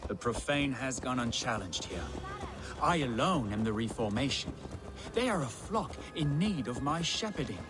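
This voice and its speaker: Actor as sardonic, pious young man, animated. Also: mid-range voice, normal speed, close to an everyday voice. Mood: sanctimonious. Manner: sardonic, sanctimonious. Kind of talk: video game cutscene acting.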